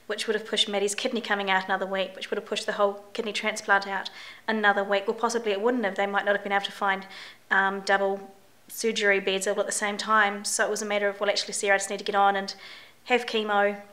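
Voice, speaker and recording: A middle-aged New Zealand woman with a bright, forward voice and a slight croak. She talks openly and chattily, with fillers and restarts, in a personal video diary. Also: medium pitch, level tone, quick pace. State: croaky voice from a chest infection. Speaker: vlogger.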